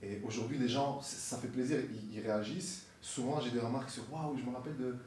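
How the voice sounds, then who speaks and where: A man chatting casually in an interview as a musician guest, relaxed and mixing in English slang, recorded in a sneaker store. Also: medium pitch, fast, slight background noise. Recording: echoey store from far off.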